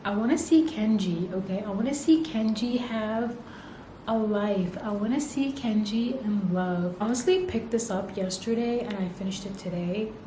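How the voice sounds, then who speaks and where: A young woman whose first language is Arabic, chatting casually and excitedly about books she is reading for her vlog, often tagging "okay?" and "like" onto her sentences. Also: mid-range voice, normal speed. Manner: gushing, excitable, repeats "okay?" and "like". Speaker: book vlogger. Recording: echoey room with noticeable background noise.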